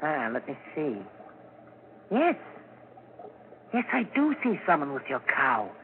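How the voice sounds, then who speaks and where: A man's voice: fairly high pitch, huge pitch swings, slow, full character voice. An actor playing a sly, bitter, sinister man who hates everyone, theatrical and mocking, in a 1940s radio drama. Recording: scratchy, tinny 1940s radio recording.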